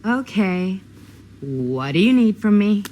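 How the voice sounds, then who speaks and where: An actress playing a worn-down young American big sister in a video game drama, talking in a wry, sardonic way. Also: female voice, medium pitch, big pitch swings, slow pace, warm tone, dull audio. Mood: teasing, wry. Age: young adult.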